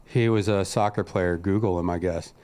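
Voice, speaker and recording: A man's voice: low voice, fairly even intonation, normal speed, darkish tone. Profane ranting man podcast co-host. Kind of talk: casual crude podcast banter.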